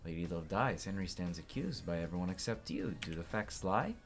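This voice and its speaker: A man's voice: low-pitched, expressive, fairly quick, faint music behind. A man reading courtroom game dialogue aloud as a let's player, with casual asides.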